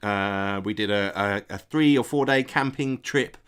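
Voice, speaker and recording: A man's voice: on the low side, measured pace, fairly bright tone, clear, resonant tone. Stuffy-nosed man chatting on stream. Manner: hesitant, many ums and restarts, friendly toward viewers. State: stuffy nose from a cold. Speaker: game designer hosting a live stream. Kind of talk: casual live-stream chat, reading out chat messages.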